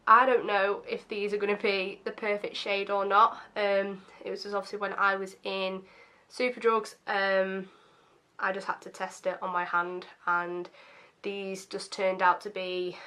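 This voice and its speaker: A young woman with a slightly croaky voice, casually chatting through a makeup first-impressions video with lots of ums and self-deprecating asides. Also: medium pitch, sharp tone. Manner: chatty and self-deprecating, many fillers like um and obviously. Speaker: beauty vlogger.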